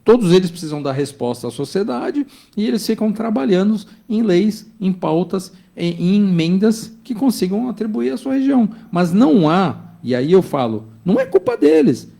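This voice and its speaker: A man in his fifties with a gravelly, fairly high voice that swings widely in pitch as he makes his points. His tone is dark and mellow, and his delivery stays emphatic and insistent. He keeps asking whether the listener follows. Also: sing-song, moderate pace, few pauses. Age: 50s. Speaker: business executive guest. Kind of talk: opinionated studio discussion.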